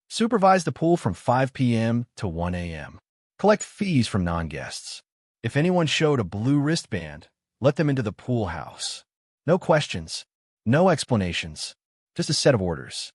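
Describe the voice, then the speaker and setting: A man narrating a true horror story in the first person, with halting, suspenseful delivery in short broken phrases. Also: mid-range voice, big pitch swings, no room sound, clean studio sound.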